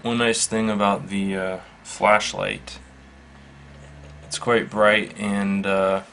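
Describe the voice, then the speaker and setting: A man giving a casual, unscripted product demonstration in a matter-of-fact, halting, measured way. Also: on the low side, flat delivery, vocal fry, a bit bright. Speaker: product reviewer. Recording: faint background noise.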